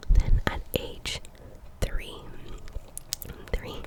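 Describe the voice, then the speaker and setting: A woman in her twenties from Colombia, whispering a casual ASMR chat and softly repeating trigger words. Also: drawn-out words. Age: 20s. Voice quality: breathy unvoiced whisper. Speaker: ASMR creator.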